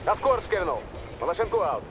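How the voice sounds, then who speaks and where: An impatient, hot-headed Soviet captain in a war game. He has a Russian accent and an extremely high pitch for a man. His delivery is fast and clipped, with loud battle noise around it. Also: very animated, lo-fi sound. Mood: brisk. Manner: urgent, argumentative, clipped. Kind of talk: scripted video game cutscene acting.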